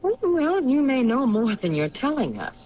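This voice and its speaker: An actor playing a patient, probing homicide detective with an American accent and measured, hesitant questioning, in 1970s radio drama style. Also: male voice, very high-pitched, huge pitch swings, full character voice. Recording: dull, noisy old radio recording.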